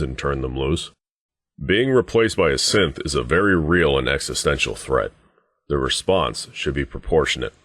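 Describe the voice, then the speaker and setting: An actor playing a stern, grim wasteland deputy sergeant in a video game, speaking in a terse, measured, guarded military way. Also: male voice, very deep voice, a bit bright, no echo, clean studio sound. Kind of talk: scripted video game dialogue.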